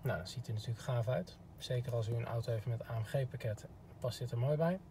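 Mellow-voiced man explaining, noisy recording. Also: medium pitch, brisk. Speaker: car dealership product expert. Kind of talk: instructional demo, informal explanation. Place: inside a parked car. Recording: clear background noise.

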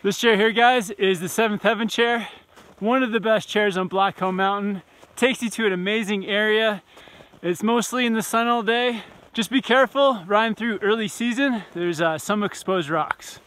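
A man's voice: high voice, moderate pace, fairly bright tone. A young man casually narrating a snowboard vlog outdoors on a snowy mountain, talking to viewers into an arm's-length action camera. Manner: upbeat, addresses viewers as "guys", whoops. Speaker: snowboard vlogger. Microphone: action camera held at arm's length.

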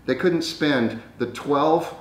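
A man's voice: a little high, slow. An older man co-hosting a casual gadget test at home, talking with dry, grumbling sarcasm. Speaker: vlog co-host. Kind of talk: casual chat while testing a gadget. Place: dining room at home. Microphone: camera microphone across the room. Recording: echoey room, distant mic, faint noise.

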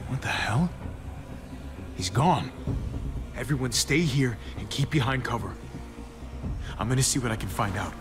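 An actor playing a wary, protective survivor leader in a video game, speaking tersely and cautiously. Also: male voice, medium pitch, lively intonation, a little slow, pauses often, lightly acted. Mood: guarded, low. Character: wary, protective man leading apocalypse survivors. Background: music.

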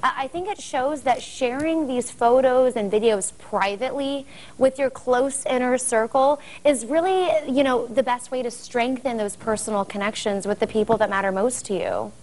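A woman's voice: on the high side, moderate pace, hardly any pauses, a little mellow. An American woman in her thirties speaking conversationally as a spokesperson in a promotional TV interview. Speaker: guest spokesperson promoting a product. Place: furnished living-room set indoors. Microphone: lapel mic.

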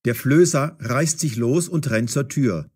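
A man narrating a scripted audio story for language learners in a deliberate, storytelling way. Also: medium pitch, a little mellow, slightly rough.

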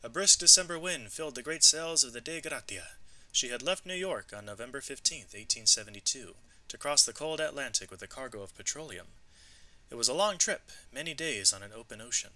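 Very bright, forceful man reading aloud. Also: medium pitch, brisk, poor audio. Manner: expressive, clearly emphasised reading. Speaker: read-aloud narrator. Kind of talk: educational storybook narration with vocabulary asides.

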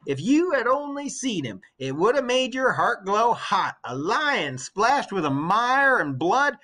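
A man putting on an excited, high-pitched old nursemaid woman. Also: unhurried, full character voice. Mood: excited, joyful. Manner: exclamatory, emphatic.

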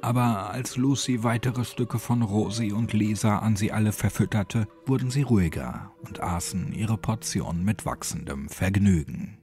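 A man's voice: lowish voice, normal speed, few pauses, a little mellow. A man reading a scripted horror story as an audiobook narrator. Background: music.

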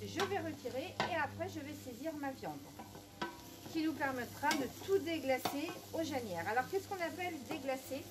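High-voiced chatty woman cooking. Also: lively intonation, moderate pace. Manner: chatty, keeps saying "donc" and "bien sûr". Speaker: cooking show host. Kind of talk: casual cooking demonstration. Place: small shop, indoors.

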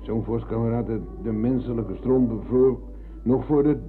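An actor playing a rambling, philosophising older husband and embittered war veteran in a radio drama. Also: male voice, medium pitch, moderate pace, faint music behind, natural voice. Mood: bitter, brooding. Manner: philosophising, theatrical, ironic. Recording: tinny, narrow-band old radio recording.

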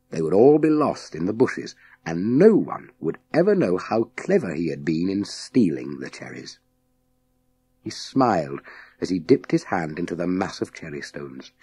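A man narrating a children's science fiction audiobook in a measured, dramatic storytelling manner with deliberate pauses. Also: deep voice, dark tone, husky.

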